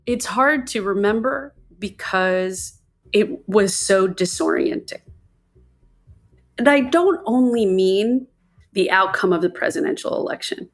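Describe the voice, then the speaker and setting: A woman from the United States speaking reflectively in an interview, measured and deliberate. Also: medium pitch, expressive.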